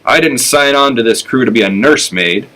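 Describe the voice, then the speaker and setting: A man's voice: medium pitch, animated, a little fast, sharp tone. A man voicing a grumpy expedition crewman who complains, in a storybook reading. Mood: grumbling.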